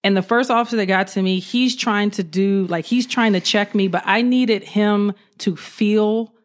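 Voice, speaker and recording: A woman police officer telling an animated story in an interview, acting out what she said at the time. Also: medium pitch, normal speed. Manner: animated, acts out her own quotes.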